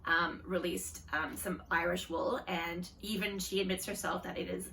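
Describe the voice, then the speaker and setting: A woman's voice: fairly low pitch, steady tone, moderate pace, vocal fry, bright, forceful tone, distant mic, slight background noise, rough recording. A woman hosting a knitting podcast, chatting casually in a rambling way with lots of fillers and self-deprecating asides. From Canada. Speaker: podcast host. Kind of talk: casual chat.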